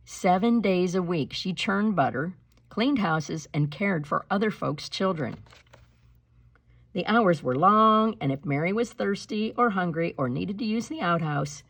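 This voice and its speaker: Woman reading aloud, low-pitched and slightly husky. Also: dry recording. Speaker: teacher reading a picture book aloud to pupils. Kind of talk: storybook read-aloud with teaching asides.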